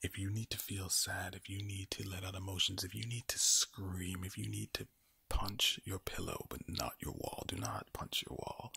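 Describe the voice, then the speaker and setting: A man gives an ASMR monologue in a very breathy whisper, close on the mic and very dry. He speaks slowly and deliberately, circling back over phrases. The delivery is soothing with a sinister edge, and there is a crackly fry in the low voice. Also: bright, forceful tone, dry recording. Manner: slow, deliberate, repeating words and phrases; soothing but sinister-sounding. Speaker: ASMR performer. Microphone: close microphone.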